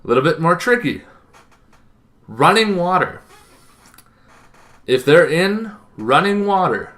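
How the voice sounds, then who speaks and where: A young man talking casually to camera as a gaming video host, emphatic, with dramatic pauses and short punchy phrases. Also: sits high, big pitch swings, a bit bright. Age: young adult. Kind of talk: casual explanatory talk to camera. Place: small bedroom, indoors.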